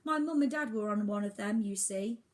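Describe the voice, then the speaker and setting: A woman's voice: medium pitch, moderate pace, close to an everyday voice. A woman voicing an earnest young boy in a storybook reading, speaking in a pleading, determined way. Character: earnest young boy looking for his parents.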